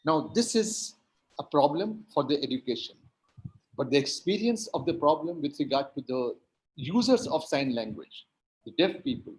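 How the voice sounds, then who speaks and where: A man whose native tongue is Hindi and Urdu speaks at a panel like a lecturer. His voice sits a little high, and he stretches out stressed words and builds emphasis through repeated phrases. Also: poor audio. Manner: emphatic, rhetorical repetition, drawn-out stresses. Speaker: linguistics academic, panelist.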